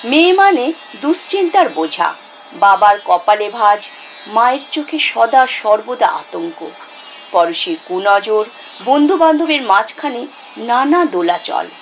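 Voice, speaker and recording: A woman reciting a poem dramatically, in a measured, expressive way with deliberate pauses. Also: a little high, highs cut off, slight background noise. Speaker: poetry reciter. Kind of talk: dramatic Bengali poetry recitation. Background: instrumental music.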